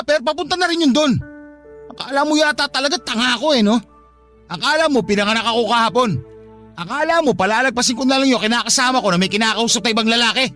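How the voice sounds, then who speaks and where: A man's voice: extremely high pitch, bright, forceful tone, exaggerated voice. A man acting an angry, jealous live-in partner in a radio drama, accusing and contemptuous. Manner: accusing, contemptuous, hot-tempered.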